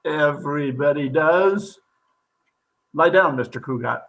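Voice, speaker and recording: High, creaky psychiatrist. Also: male voice, unhurried, a bit bright, rough recording. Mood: smooth, insistent. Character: eccentric, absent-minded male psychiatrist with comic pomp. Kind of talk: old-time radio comedy acting.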